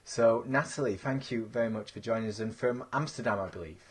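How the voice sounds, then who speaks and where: Lively Yorkshire man hosting. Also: medium pitch, a little fast. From England. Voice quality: lively, playful storyteller. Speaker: podcast host. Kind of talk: casual interview with a read-out intro.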